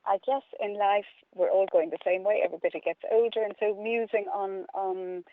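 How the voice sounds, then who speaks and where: A woman's voice: mid-range voice, steady tone, fairly quick, no echo, phone-quality sound. A woman from Limerick with an Irish accent, chatting playfully and ramblingly as an interview guest. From Limerick, Ireland. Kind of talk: casual conversational interview.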